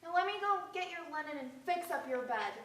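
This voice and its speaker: Stage housewife, played by a woman: very high bright voice, fussy, soothing but firm. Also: animated, fairly quick. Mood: coaxing, exasperated. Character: fussy, soothing small-town housewife, firm with her husband. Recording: echoey, noisy, distant stage recording.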